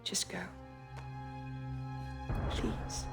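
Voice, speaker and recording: An actress playing a grieving, proud and guarded young noblewoman in a cinematic video game drama. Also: drawn-out words. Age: young adult. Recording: music behind the voice.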